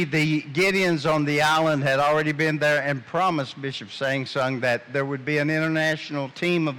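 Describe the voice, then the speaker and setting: A man's voice: fairly high pitch, fairly bright tone. Earnest visiting speaker man, church. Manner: measured, earnest, preacherly. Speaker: guest speaker. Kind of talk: sermon-like appeal. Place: church sanctuary.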